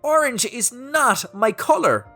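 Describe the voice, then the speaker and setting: Woman putting on a shrill, fussy young fairy. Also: very animated, slow pace, fairly bright tone, faint music behind, heavily acted. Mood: upset. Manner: exclaiming, indignant. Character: dramatic, fussy, excitable young female fairy. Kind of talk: storybook character voice.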